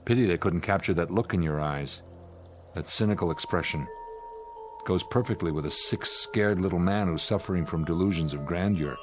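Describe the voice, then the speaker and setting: An actor plays a male spaceship commander with a lowish voice, blunt and commanding. He speaks plainly with sardonic scorn, in radio drama style over some music. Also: normal speed, lightly acted. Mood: scornful, stern.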